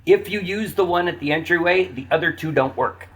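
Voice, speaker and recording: A man's voice: on the high side, a little fast, slightly rough, poor audio. A man talking informally as a guest in a podcast conversation. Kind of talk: casual chat.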